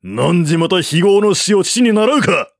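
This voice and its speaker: A man's voice: high voice, big pitch swings, measured pace, vocal fry, no echo, pitched above the performer's own voice, exaggerated voice. Male narrator as proud, hot-headed general, storybook war epic, taunting commands, dry recording. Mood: furious. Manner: taunting, cursing, commanding shouts. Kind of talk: dramatic audiobook character reading.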